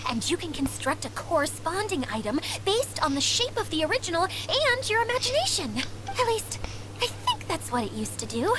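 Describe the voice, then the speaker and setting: High-pitched, timid young inventor. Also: female voice, huge pitch swings, moderate pace, full character voice, poor audio. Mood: nervous explaining. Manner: hesitant, apologetic, stammering. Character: timid, self-doubting, clumsy young woman inventor. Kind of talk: video game voice acting.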